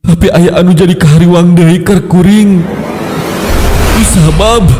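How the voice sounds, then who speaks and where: A man's voice: high-pitched, background noise. A man with a Sundanese accent narrating a first-person scary folk tale dramatically, repeating phrases for suspense. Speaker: storyteller. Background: background music.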